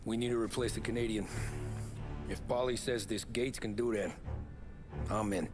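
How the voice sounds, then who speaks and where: An actor playing a reserved young driver who speaks briefly and plainly in a game cutscene. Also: male voice, lowish voice, fairly even intonation, moderate pace, music in the background, close to an everyday voice. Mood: earnest. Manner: terse, plain-spoken. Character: reserved young male cab driver turned gangster. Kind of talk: 1930s gangster game dialogue.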